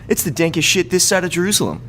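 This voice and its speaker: A man voicing a crude, cocky young stoner partygoer in a comic story, slangy and excitable. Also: high voice, fast, a little hiss or hum, pitched above the performer's own voice. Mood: excited, brash.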